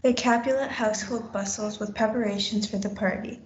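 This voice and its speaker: A woman's voice: medium pitch, fairly even intonation, fairly quick, breathy edge. A woman reading scripted narration for a student play. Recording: dull, muffled lo-fi audio.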